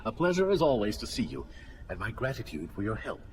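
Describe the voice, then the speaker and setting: A man's voice: fairly low pitch, fairly quick, poor audio. A man playing a kindly, cultured mentor in a video game, speaking graciously and formally. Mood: gracious, curious. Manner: gracious, formal, warmly expressive. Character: kindly, cultured male master and mentor of recruits. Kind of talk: video game cutscene acting. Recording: loud music behind the voice.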